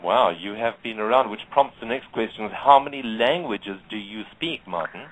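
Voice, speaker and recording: A man with a South African accent hosts an online radio talk show. His voice sits a little low, and he talks in an effusive, promotional way. He repeats his praise for emphasis. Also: expressive, normal speed, a bit bright, low-quality recording. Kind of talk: enthusiastic radio chat.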